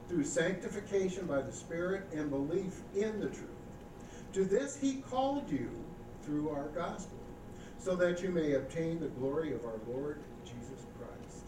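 A man preaching a sermon as pastor, reading scripture slowly and with emphasis, then speaking casually and asking the congregation questions. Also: fairly high pitch. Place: church sanctuary. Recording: echoey church recording from far off with background noise.

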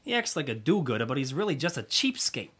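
An easygoing dad played by a man in a comic audio drama. His delivery is casual and dryly joking, with lively rises and falls in pitch. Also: fast talker, husky, exaggerated voice. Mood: reassuring. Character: easygoing, wisecracking husband and father. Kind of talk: scripted comedy audio drama.

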